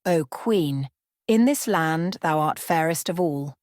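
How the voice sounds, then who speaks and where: A stately enchanted looking glass, spoken by a woman reader, solemn and formal. The voice sits fairly low and measured, with almost no room sound. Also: lively intonation, a little mellow, no room sound, clean studio sound. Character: solemn truthful enchanted talking mirror, stately and rhyming.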